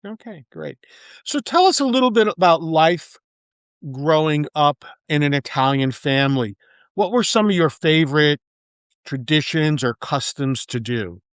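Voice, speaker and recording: A man hosting an interview podcast, reading a formal scripted introduction and then asking questions in a measured way. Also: a little high, lively intonation, clean tone, no echo, clean studio sound. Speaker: podcast host.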